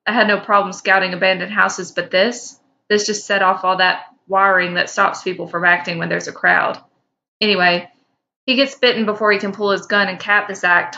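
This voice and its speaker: A bright, forward-voiced woman co-hosts a podcast in an informal way. She sounds at home reading stories or chatting. The audio is a little dull, with a faint layer of noise behind her. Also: mid-range voice, vocal fry. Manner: informal, teasing, profane. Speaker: podcast co-host. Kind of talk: reading fan fiction aloud and casual banter.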